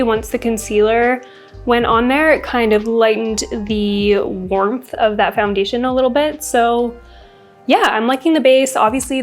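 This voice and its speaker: A young woman with a congested voice, chatting casually through a makeup routine as a beauty vlogger. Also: a little high. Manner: chatty, upbeat, rambling product talk. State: congested from a cold. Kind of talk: casual get-ready-with-me chat.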